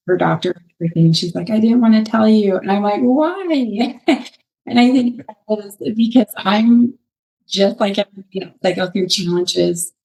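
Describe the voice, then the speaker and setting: A woman's voice: mid-range voice, vocal fry, darkish tone, rough recording. A middle-aged woman, a fitness trainer, answering interview questions in a hesitant, casual way and laughing often.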